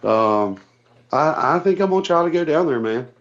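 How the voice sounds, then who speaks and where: A man being interviewed casually as a guest over the phone, speaking in a plain, low-key, somewhat hesitant way. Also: expressive, normal speed. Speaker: guest, tournament kayak angler. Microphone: remote phone call. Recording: dull, band-limited phone call audio.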